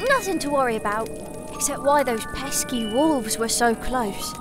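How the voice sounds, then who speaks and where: A woman's voice: fairly high pitch, moderate pace, music in the background, close to an everyday voice. A woman voicing a small, feisty, childlike girl with a cute, plucky delivery in a fantasy audio drama. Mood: satisfied, breezy. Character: small, feisty, childlike girl who commands a magical beast.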